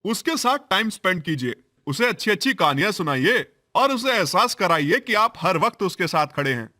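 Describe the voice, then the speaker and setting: A man voicing a kindly doctor in an animated story, speaking gently and coaxingly. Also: high-pitched, normal speed, heavily acted. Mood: advising. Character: kindly male child doctor, patient and reassuring.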